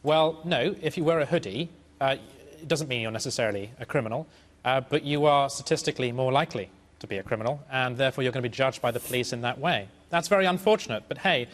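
A man's voice: mid-range voice, fast talker. Assertive man in a roomy studio. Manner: assertive, argumentative. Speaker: debate panelist. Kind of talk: studio debate argument.

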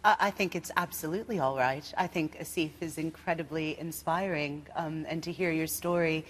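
A woman with a low voice speaks supportively on a debate panel. Her voice rings in a large hall over faint background noise. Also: fairly even intonation, normal speed. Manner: warm, supportive.

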